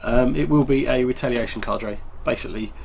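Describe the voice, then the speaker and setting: Male host, congested from a cold, loose and rambling, plain middle pitch. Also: fairly quick, vocal fry, background noise. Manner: relaxed, rambling, full of ums. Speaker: tabletop wargaming channel host. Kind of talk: casual hobby show-and-tell.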